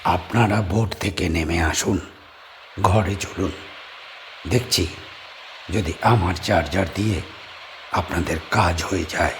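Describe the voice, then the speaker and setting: An actor playing a calm, polite man who hosts guests at his island bungalow. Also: sits low, slow, pauses often, a little hiss or hum, pitched below the performer's own voice, full character voice. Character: middle-aged man D'Souza, calm island bungalow host.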